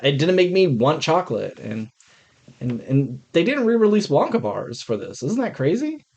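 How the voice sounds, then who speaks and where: A man's voice: on the high side, big pitch swings, moderate pace, darkish tone. American man giving blunt, casual film opinions. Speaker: podcast co-host. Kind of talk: casual film review chat.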